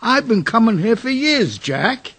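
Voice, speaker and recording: A man as a high, sharp-voiced barroom hothead. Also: very animated, measured pace, breathy edge, full character voice. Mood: aggrieved. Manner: agitated, coarse, combative. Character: hot-headed, anxious male barroom regular, rough-spoken. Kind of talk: radio drama acting.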